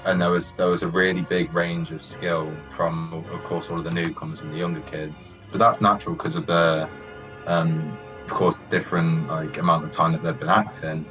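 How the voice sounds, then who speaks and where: Young adult male, deep voice, reflective interview chat, slightly muffled recording. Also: little pitch movement, moderate pace, fairly bright tone. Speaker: former youth theatre member being interviewed. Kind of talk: casual reflective interview talk. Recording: dull lo-fi sound, faint music.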